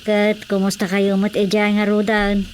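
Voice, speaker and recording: A woman playing a lively, familiar village relative in an Ilocano radio comedy drama, with an Ilocano accent. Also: mid-range voice, a little slow, slight background noise, natural voice. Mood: inquiring.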